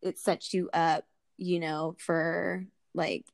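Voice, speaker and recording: A woman's voice: lowish voice, little pitch movement, slow, creaky, no echo, studio quality. A woman hosting a casual chat, informal and supportive, with lots of conversational filler words. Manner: informal, supportive, peppered with "like" and "you know". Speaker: podcast host.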